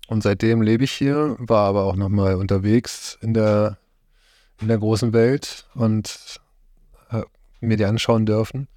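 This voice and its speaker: Low, flat-voiced man with a mellow tone. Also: unhurried, no room sound, clean studio sound. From Germany. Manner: hesitant, searching for words, many fillers. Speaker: interviewee, farm host. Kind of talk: relaxed conversational interview.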